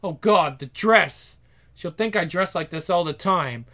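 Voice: mid-range voice, expressive, a little slow, pushed and bright. A performer voicing a troubled, sarcastic, self-conscious young teenage girl in a dramatic inner monologue for a story. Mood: anxious, mortified.

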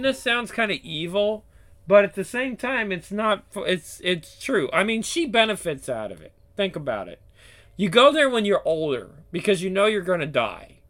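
A man's voice: sits high, sharp tone. A man in his thirties hosting his own channel, talking bluntly and profanely in a rambling casual chat with many restarts. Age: 30s. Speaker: YouTube channel host.

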